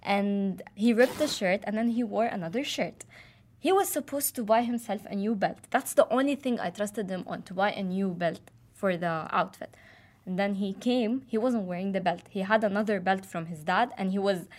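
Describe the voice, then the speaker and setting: A woman's voice: mid-range voice, moderate pace, darkish tone. A young woman from Jordan, casually telling a personal story with many fillers and restarts. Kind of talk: casual chat.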